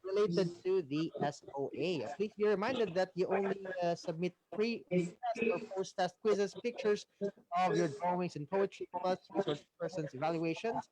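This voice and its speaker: A woman with a Filipino accent co-hosting, giving unscripted reminders in a hesitant, halting way. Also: lowish voice, crowd noise, low-quality recording. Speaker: co-host.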